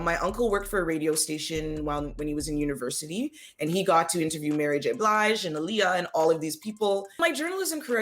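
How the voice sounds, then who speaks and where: A woman from the Caribbean, a guest in an interview, candidly recounting her path with easy laughs. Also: low voice. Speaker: guest, front-end web developer. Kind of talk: casual interview chat.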